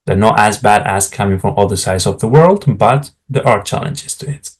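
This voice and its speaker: A man's voice: fairly low pitch, moderate pace, breathy edge. A middle-aged man from Spain, a native Spanish speaker with a Spanish accent, frankly explaining how to write academic job materials and often turning to the viewers with asides. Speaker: academic career advisor. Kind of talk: explanatory talk to camera.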